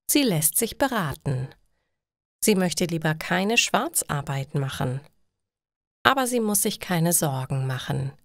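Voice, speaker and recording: A woman reading scripted stories for language learners in short, deliberately separated sentences. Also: deep voice, dry recording, studio quality. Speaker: narrator of German learning stories. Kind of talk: slow scripted story narration for language learners.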